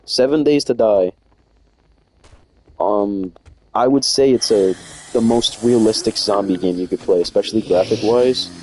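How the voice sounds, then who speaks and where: Young man, slangy, rambling, excitable, low voice. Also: measured pace, long pauses, a little hiss or hum, poor audio. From United States. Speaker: game streamer. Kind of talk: casual chat while playing.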